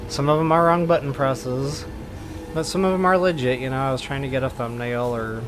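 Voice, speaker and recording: A man's voice: mid-range voice, fairly even intonation, brisk, over music, rough recording. A man doing casual live gameplay commentary as a streamer, rambling along with folksy slang. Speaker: game streamer.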